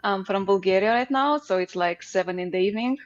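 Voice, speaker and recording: A woman's voice: mid-range voice, fast. A cheerful, chatty woman with a mid-range voice joins a livestream. Her sound comes through dull and slightly lo-fi. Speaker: developer. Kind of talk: casual livestream chat.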